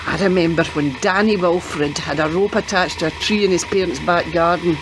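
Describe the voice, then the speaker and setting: A woman's voice: lowish voice, normal speed, noisy background, lightly acted. An actress playing a chatty, doting and cheeky old grandmother in a radio play, fussing and teasing as she talks. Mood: warm, reminiscing.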